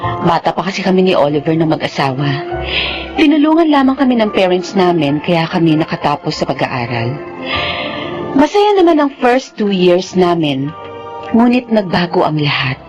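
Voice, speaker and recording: A woman's voice: lowish voice, sing-song, moderate pace, dull audio, music in the background. An actress playing a bitter, hurt separated young mother in Filipino radio drama, speaking with indignant emotion. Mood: sorrowful.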